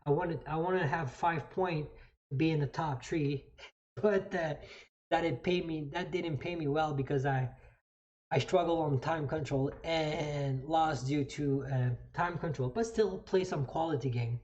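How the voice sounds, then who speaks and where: A French Canadian speaker whose first language is French, casually explaining chess moves on a live stream, halting and repeating phrases while thinking aloud. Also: medium pitch, a little mellow, lo-fi sound. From New Brunswick, Canada.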